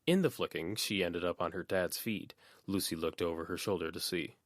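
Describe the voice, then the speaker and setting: A male audiobook narrator reading prose in a steady, even way. His voice sits very low, and the recording is dry and close, with no room sound. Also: moderate pace, dry recording. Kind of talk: scripted narration.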